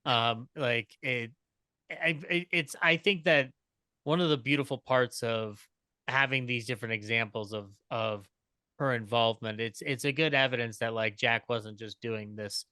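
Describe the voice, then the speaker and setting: Man, forceful bright tone, very dry close sound, informal phrasing, casual panel chat. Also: mid-range voice, moderate pace, clean tone, no echo. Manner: informal, peppered with "like".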